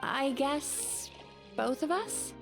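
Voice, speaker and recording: A woman voicing a friendly, expressive young traveling companion in an anime video game. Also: sits high, drawn-out words, creaky, over music, full character voice. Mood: sheepish.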